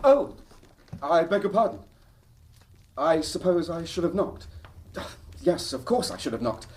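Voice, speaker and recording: An actor playing a troubled, well-bred Victorian husband in a radio drama, with an English accent and a halting, formal, agitated manner. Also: male voice, a little high, sing-song, husky, full character voice. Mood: flustered, apologetic.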